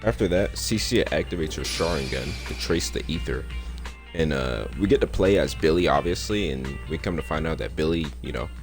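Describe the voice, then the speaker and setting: A man's voice: very deep voice, expressive, fairly quick, music in the background. A young man giving a casual, slangy game story recap and reaction in a laid-back, rambling way. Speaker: content creator.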